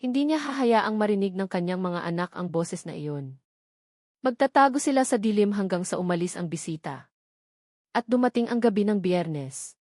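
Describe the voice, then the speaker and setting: A woman reading a scripted romance story aloud in a measured, dramatic storytelling manner. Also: medium pitch, lively intonation, a little mellow, dry recording, clean studio sound. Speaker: narrator.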